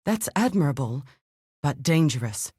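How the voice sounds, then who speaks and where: Casting: a bookish, earnest scientist-adventurer for a video game; low female register, measured, articulate delivery, dry studio sound. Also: sing-song, mellow, husky, no echo, full character voice. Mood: concerned. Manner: precise, formal, articulate, thoughtful. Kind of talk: scripted video game dialogue.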